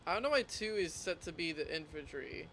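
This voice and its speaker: A man with a slightly high voice streams a video game. He thinks aloud casually in short remarks, with clear game noise and gunfire behind him. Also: expressive, a little fast, bright, forceful tone, low-quality recording. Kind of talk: casual live gameplay commentary.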